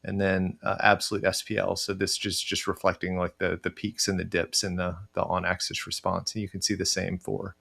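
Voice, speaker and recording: Low, gravelly man giving a tutorial. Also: flat delivery, fairly bright tone. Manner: hesitant, halting, thinking aloud. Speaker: software tutorial presenter. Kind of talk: casual screen-share walkthrough. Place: indoor room, webcam screen recording.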